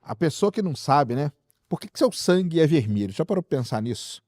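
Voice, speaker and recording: A fast-talking Brazilian man hosts a popular science chat in a mellow, slightly high voice. He speaks straight to the audience, throwing out rhetorical questions and everyday examples. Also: sing-song, no echo, clean studio sound. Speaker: science show host.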